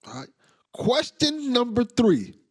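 A man's voice: extremely high pitch, takes it slowly, husky, dry recording. Enthusiastic man hosting a podcast. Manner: enthusiastic, relaxed, repeats words while thinking. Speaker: podcast host. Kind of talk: casual podcast interview.

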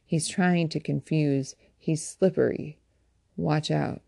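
Cautious male confidant, voiced by a woman reader, storybook ally, hushed and urgent, low with some creak. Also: steady tone, normal speed, no room sound, studio quality. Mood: hushed warning. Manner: hushed, warning. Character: cautious, urgent secret male adviser murmuring warnings.

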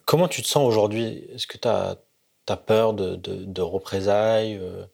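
A man asking calm, direct questions as interviewer. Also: on the low side, a little slow, gravelly, slightly rough.

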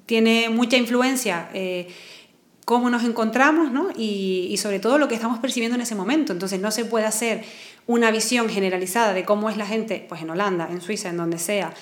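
A woman with a Canarian Spanish accent explains ideas in a conversational, teacherly way and keeps checking in with her partner. Her pitch sits mid-range. The sound is roomy and echoey. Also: fairly quick. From Canary Islands. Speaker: podcast co-host. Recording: roomy echo.

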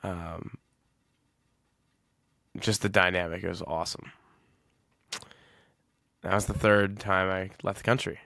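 A man's voice: low-pitched, level tone, slow pace, vocal fry, long pauses, pushed and bright, no room sound, studio quality. A man in his twenties hosting a solo podcast, talking casually and reflectively, rambling and often correcting himself. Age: 20s. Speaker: podcast host.

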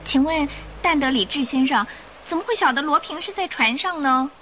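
Anxious young lady, high pitch, sharp bright tone, radio play delivery, light background noise. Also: expressive, normal speed. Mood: curious, uneasy. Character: anxious young society lady of eighteen or nineteen. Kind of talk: old-style radio drama acting.

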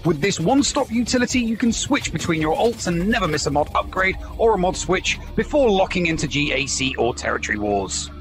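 A man's voice: high voice, big pitch swings, a little fast, a bit bright, soft background music. A man reading a scripted promotional spot as an advertisement announcer.

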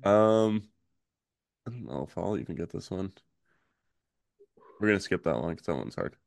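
A man hosting a podcast, his voice raspy and hoarse from a recent illness, reading trivia questions slowly in casual chat. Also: low-pitched, no echo, studio quality. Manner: reads questions slowly, relaxed filler-heavy talk. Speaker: podcast host.

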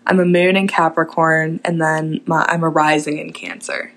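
Easygoing young woman co-host, lower voice, teasing and giggly. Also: flat delivery, moderate pace. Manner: laid-back, teasing, giggly. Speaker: podcast co-host. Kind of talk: casual chat.

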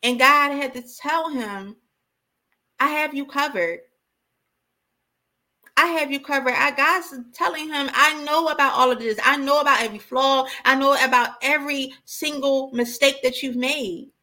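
A woman's voice: high voice, measured pace, pushed and bright. A woman giving an impassioned, informal sermon as a preacher, exhorting emphatically and repeating key phrases.

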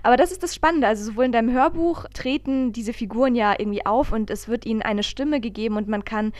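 A woman's voice: fairly high pitch, fast, a little mellow, no room sound. A woman talking casually as a podcast host, telling things in a rambling, emphatic way. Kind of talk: casual conversational podcast talk.

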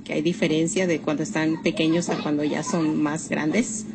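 A woman's voice: deep voice, level tone, normal speed, gravelly, music in the background. A woman putting survey questions to someone as an interviewer. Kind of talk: reading survey questions to a passer-by. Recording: noisy on-location interview recording.

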